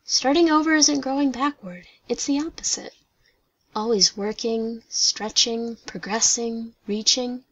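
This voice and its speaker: A woman playing a serene, loving goddess and devoted daughter in an audio drama, soft, breathy and comforting. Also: on the high side, a little slow, highs cut off. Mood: soothing, earnest. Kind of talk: dramatic reading like a radio play.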